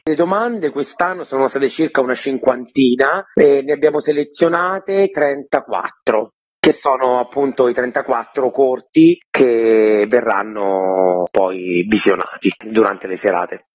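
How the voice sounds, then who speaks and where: A man's voice: medium pitch, unhurried, slightly rough. A man giving wordy, spontaneous explanations as a theatre director interviewed on radio. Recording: dull lo-fi line, dry.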